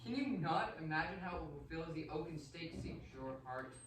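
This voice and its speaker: An actor playing an imperious, seductive, vengeful vampire count in a radio play, formal, theatrical and commanding. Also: male voice, on the high side, animated, a little fast, fairly bright tone. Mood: silky menace. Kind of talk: amateur radio play acting. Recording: roomy, distant, faintly noisy stage recording.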